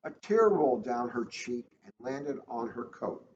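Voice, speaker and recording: A man's voice: mid-range voice, very animated, unhurried, a little mellow, reverberant. A man, a police officer, reading a children's storybook aloud in a casual, informal style. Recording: dull lo-fi audio, faint noise.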